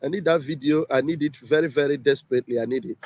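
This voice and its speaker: A man's voice: fairly high pitch, quick pace, darkish tone. A man hosting a casual livestream, emphatic and repeating himself often. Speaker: livestream host. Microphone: home livestream setup.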